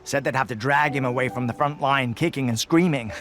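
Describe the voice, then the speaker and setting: A man plays an ordinary video game soldier, respectful and good-humoured. His voice sits at a middling pitch, with music clearly behind him. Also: a bit bright, close to an everyday voice. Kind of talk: video game character acting.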